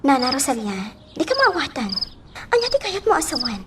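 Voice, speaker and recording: A puzzled young lady of the house in an Ilocano radio drama. Her voice is high and lively, lifting into questioning exclamations. Loud noise runs behind her. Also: a little fast. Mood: puzzled, anxious.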